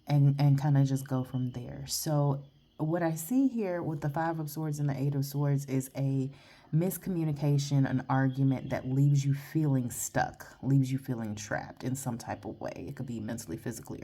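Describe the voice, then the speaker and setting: A woman's voice: deep voice, mellow. A woman tarot reader, blunt and chatty, giving a rambling casual reading outdoors while congested and sniffly. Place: outdoors in the early morning.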